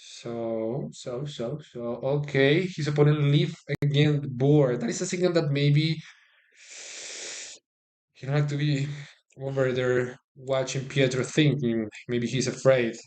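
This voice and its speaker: A Colombian man with a Spanish accent gives easygoing live-stream chess commentary in a medium-pitched voice. He talks in a chatty, stop-start way, repeating words as he reaches for them and turning often to thank his viewers. He is recorded on a phone. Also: steady tone, unhurried, a little mellow.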